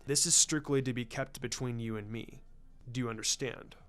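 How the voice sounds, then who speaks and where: A man voicing a formal, proud clan patriarch and father in a story reading, speaking in a guarded, measured way. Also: mid-range voice, steady tone, fairly bright tone, pitched below the performer's own voice, natural voice. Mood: guarded, uneasy. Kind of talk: fan fiction story reading. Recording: music under the voice.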